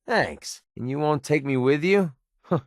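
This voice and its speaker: A man's voice: fairly high pitch, lively intonation, a little slow, dry recording, clean studio sound. A man playing a sardonic but loyal young mercenary companion in a video game, with a casual, wry delivery.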